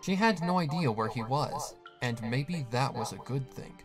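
A man delivers scripted, dramatic narration of a horror tale. His voice sits at an ordinary middle pitch, and music plays clearly behind him. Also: expressive, normal speed. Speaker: narrator of a horror fan fiction.

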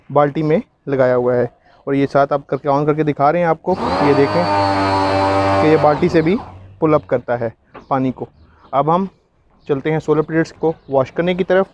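Higher-pitched Pakistani man demonstrating a product. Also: a little mellow, highs cut off. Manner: instructional, explanatory. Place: outdoors, on a street and a rooftop.

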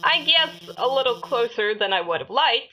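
A woman's voice: a little high, lively intonation, moderate pace, bright, forceful tone, poor audio. A woman voicing a shy, nervous female lizard scientist who stammers and hesitates often, in a fan-voiced game.

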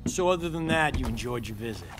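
Flippant veteran action hero for a game, played by a man: mid-pitched, animated, always wisecracking. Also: fast, music in the background, lightly acted. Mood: teasing, then brisk. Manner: flippant, breezy. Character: cocky, wisecracking middle-aged male action-hero mentor. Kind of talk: video game cutscene acting.

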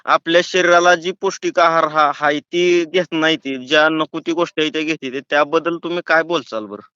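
A man's voice: a little high, fairly even intonation, brisk, bright, forceful tone, clear, resonant tone, no room sound. A man with a rural Maharashtrian accent in a casual phone chat, agreeing and putting in short remarks and questions. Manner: agreeable, brief replies and questions. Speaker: listener on a phone call. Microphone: phone call, close and dry. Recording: dull lo-fi phone call recording.

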